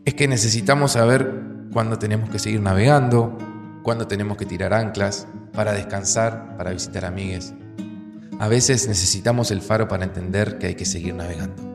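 A sentimental Argentine groom delivers a measured toast in a low voice. It rings through a long hall reverb, with clear guitar music underneath. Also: male voice, steady tone. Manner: measured, pausing between phrases. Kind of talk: audio fiction acting, wedding speech. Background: music with plucked guitar.

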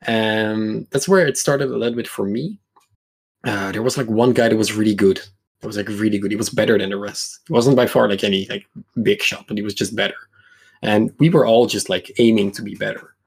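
Gravelly, husky man in his mid-twenties. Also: lowish voice, fairly quick. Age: mid-20s. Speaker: podcast guest, competitive card game player. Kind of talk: casual chat, personal anecdotes.

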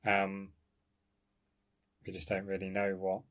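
Male researcher, lowish pitch, informal scholarly conversation, discursive and explanatory with careful qualifications. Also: monotone, slow pace, a bit bright.

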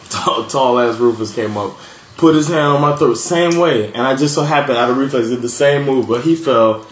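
Man from Miami, fairly high voice, animated storyteller with easy laughter and swearing; roomy sound, faint background ambience. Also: normal speed, slight background noise. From Miami, USA. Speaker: podcast co-host. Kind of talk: casual storytelling chat.

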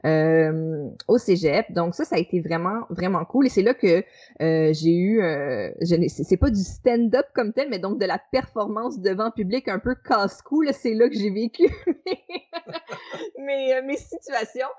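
A woman's voice: medium pitch, animated, unhurried, warm tone. A woman in her thirties with a Québécois accent, a podcast guest telling an anecdote casually, with many hesitant fillers and light laughter between phrases. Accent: Québécois French. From Quebec. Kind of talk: casual storytelling.

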